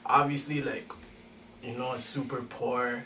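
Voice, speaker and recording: A man's voice: mid-range voice, flat delivery, unhurried, sharp tone, far from the mic, a little hiss or hum. A young man, a rapper, chatting casually in an interview, full of street slang and repeated "you know what I'm saying" tags. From Detroit, raised in Durham Region, Ontario. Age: young adult. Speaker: rapper guest. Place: small studio room, seated on a couch.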